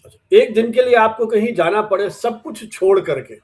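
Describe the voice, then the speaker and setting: A man hosting an interview, asking questions conversationally and deliberately in short segments. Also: high voice, a little mellow. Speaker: interviewer, host.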